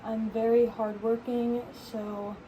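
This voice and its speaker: A young woman answering job interview questions softly and casually, often saying "like". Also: a little high, flat delivery, takes it slowly, phone-quality sound. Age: young adult. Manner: soft-spoken, casual with frequent "like". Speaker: recreation leader job candidate. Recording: noisy background.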